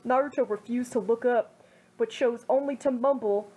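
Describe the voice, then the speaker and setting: A young woman giving a gentle, earnest amateur reading of a fan fiction story on a home microphone. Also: a little high, moderate pace, breathy edge, poor audio.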